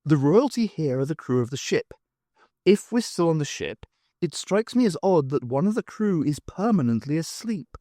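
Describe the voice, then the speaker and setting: A man doing a brisk heroine voice. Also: on the high side, big pitch swings, dark tone, no echo, clean studio sound, pitched above the performer's own voice. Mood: curious. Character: brisk adult woman archaeologist heroine.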